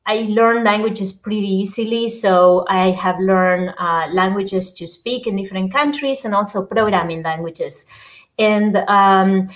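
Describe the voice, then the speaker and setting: A middle-aged woman from Argentina speaks with a clear Argentine Spanish accent. She talks haltingly, filling her pauses with ums and soft laughs. Her voice sits in an ordinary middle pitch. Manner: hesitant, many ums and uhs, laughs often. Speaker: guest, app creator. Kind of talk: casual interview chat.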